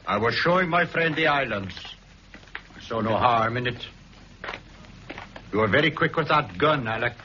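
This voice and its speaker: An actor playing a calm, plain-spoken Hebridean islander in a 1960s radio drama, muffled old broadcast with hiss. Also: male voice, mid-range voice, close to an everyday voice. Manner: calm, terse, measured.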